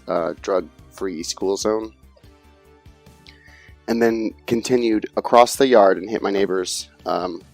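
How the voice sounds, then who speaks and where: A man co-hosting a podcast, telling a story in a casual, laid-back way with fillers and swearing. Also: on the low side. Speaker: podcast co-host. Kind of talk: casual chat.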